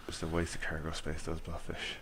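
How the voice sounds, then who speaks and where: Give me a deep Irish man chatting casually through a game, faint background noise. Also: monotone, fairly quick, a bit bright. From Ireland. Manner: rambling, self-talking, chuckles at own mistakes. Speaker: gaming video creator. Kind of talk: casual gameplay commentary.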